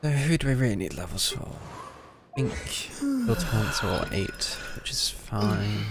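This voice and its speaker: Low-voiced man, casual game streaming. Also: sing-song, normal speed, gravelly. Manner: low-key, musing to himself. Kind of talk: casual live gameplay commentary. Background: loud game music.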